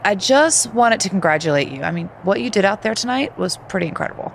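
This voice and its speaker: Lively, gracious veteran wrestler. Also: female voice, medium pitch, fast talker, creaky, slight background noise. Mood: warm, amused. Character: gracious veteran female wrestler, supportive and wry. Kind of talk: video game cutscene acting, conversational.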